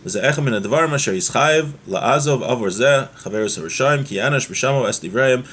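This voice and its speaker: A man giving a religious lesson as a teacher, explaining a text point by point and repeating key ideas, in a husky voice. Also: medium pitch, fast talker, a bit bright, slight background noise. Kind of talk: Torah lesson, reading Hebrew text and explaining it.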